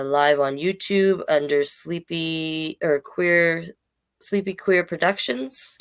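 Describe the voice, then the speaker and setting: A woman hosting a children's storytime online, reading deliberately and adding casual, chatty asides. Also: deep voice, gravelly. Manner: slow, deliberate read-aloud delivery with chatty asides. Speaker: drag king storytime host.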